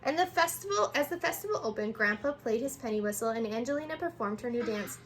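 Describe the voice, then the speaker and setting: Female storyteller, high pitch, animated picture-book read with small stumbles, noticeable background noise. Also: quick pace. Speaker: storyteller reading aloud to children.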